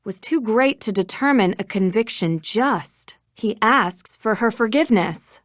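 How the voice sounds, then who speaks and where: A woman's voice: on the high side, animated, a little slow, dry recording. An actress playing a curious, confident young female detective in a video game, inquisitive and a little dry in manner. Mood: thoughtful.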